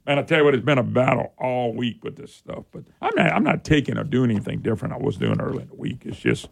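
An older man hosting a radio talk show, gravelly and hoarse from a sore throat, rambling in a folksy, opinionated way. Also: medium pitch, very animated, fast.

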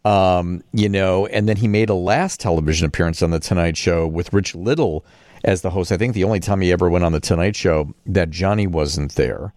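Deep-voiced American radio host, dry studio sound. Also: male voice, fairly quick, a little mellow, no room sound. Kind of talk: conversational radio interview.

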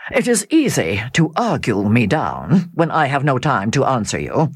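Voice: mid-range voice, wildly swooping pitch, moderate pace, breathy edge, no room sound, clean studio sound. A reader doing a sulky, hot-tempered baronet. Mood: grudging. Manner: irritable, blustering.

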